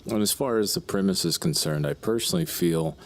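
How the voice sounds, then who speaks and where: A man's voice: low voice, expressive, brisk. A man playing a prickly, defensive, foul-mouthed novelist in an audio drama, with a sarcastic, irritable manner. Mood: guarded, proud.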